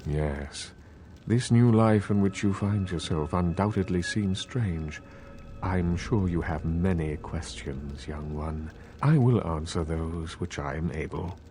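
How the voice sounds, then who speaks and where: Vampire regent, very deep, dark mellow tone, formal, measured, patient mentor, video game dialogue. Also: male voice, slight background noise. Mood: patient, instructive. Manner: formal, measured, archaic courtesy.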